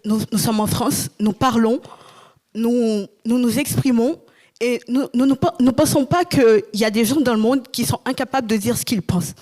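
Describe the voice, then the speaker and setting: A woman's voice: a little high, moderate pace, darkish tone. A young woman, a student, speaking hesitantly at an award ceremony, repeating her words. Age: young adult. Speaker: student prize winner. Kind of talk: spontaneous award speech.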